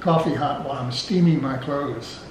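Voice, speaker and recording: An older man in his sixties or beyond, chatting casually at home in a halting, musing way. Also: mid-range voice. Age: 60s or older. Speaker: vlog host's companion. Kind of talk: casual chat while opening gifts. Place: indoors at home, roomy space. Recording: roomy echo, noticeable background noise.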